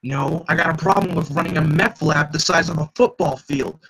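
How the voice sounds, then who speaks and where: A man voicing a calm, cautious male gang member in a read-aloud story, speaking in a level, reasoning tone. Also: on the high side, a little fast, creaky, pitched above the performer's own voice, low-quality recording.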